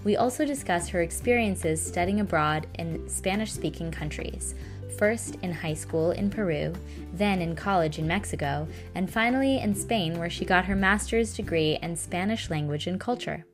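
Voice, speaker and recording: Woman podcast host, measured and clear. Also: fairly low pitch, faint music behind. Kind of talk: scripted podcast intro, then interviewing.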